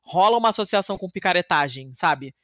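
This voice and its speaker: A woman's voice: quick pace, no room sound, studio quality. A woman from Rio de Janeiro, chatting casually and animatedly, swearing and poking fun at herself. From Rio de Janeiro, Brazil. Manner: animated, swearing, self-mocking, jumps to high pitch when excited. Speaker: podcast guest living in Italy. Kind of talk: casual conversation.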